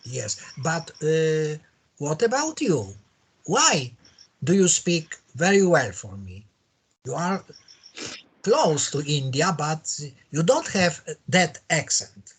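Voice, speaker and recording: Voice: medium pitch, big pitch swings, takes it slowly, husky. A Polish-accented English learner chatting casually, speaking hesitantly and repeating phrases. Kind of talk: casual practice conversation.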